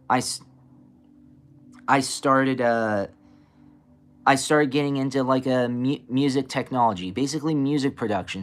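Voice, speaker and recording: A young man with a raspy voice, a blues and soul singer, talking casually in an interview with many fillers and restarts. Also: medium pitch.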